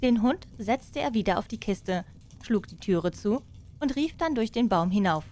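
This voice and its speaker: A female audiobook narrator. She has a fairly high voice and tells a fairy tale in an expressive storytelling style. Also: moderate pace.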